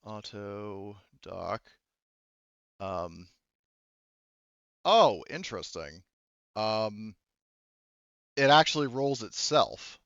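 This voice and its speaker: A man running a tabletop roleplaying game as game master, improvising narration and explaining rules in a halting way, thinking aloud. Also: on the low side, very animated, vocal fry, fairly bright tone, clear, resonant tone, no echo, highs cut off.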